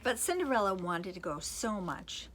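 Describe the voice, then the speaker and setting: A woman's voice: mid-range voice, big pitch swings, normal speed, fairly bright tone, a little hiss or hum. A woman reading a storybook expressively to children, with a playful manner. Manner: playful asides to young listeners.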